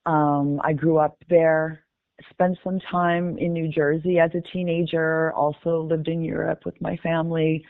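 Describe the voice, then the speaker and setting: A woman in her fifties, originally from Canada, answers interview questions in a gracious, measured way. Her voice comes through a narrow, phone-like line with no room sound at all. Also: low-pitched, level tone, no room sound. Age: 50s. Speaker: DJ guest. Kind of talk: interview conversation. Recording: dry, tinny phone-like line.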